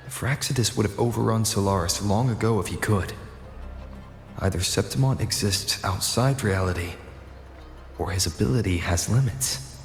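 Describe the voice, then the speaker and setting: An actor playing a composed young male game hero who reasons through his plans in a measured, serious way. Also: on the low side, creaky. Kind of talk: scripted game voice acting. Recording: echoey, long reverb, music behind.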